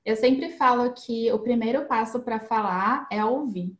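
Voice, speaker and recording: A woman's voice: fairly high pitch, unhurried, reverberant. A woman guest giving casual, chatty advice on learning English, explaining with everyday examples and frequent tag questions.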